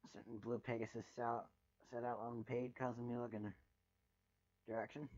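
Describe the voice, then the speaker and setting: A man's voice: medium pitch, flat delivery, fairly quick, a little mellow, no room sound. Sardonic male story reader, slightly dull sound. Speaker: fan fiction reader. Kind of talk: dramatic first-person story narration.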